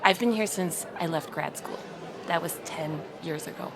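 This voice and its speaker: An actress playing a bossy, sardonic veteran shop worker in a conversational indie drama. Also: female voice, on the low side, moderate pace, a crowd in the background, close to an everyday voice. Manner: brusque, sardonic.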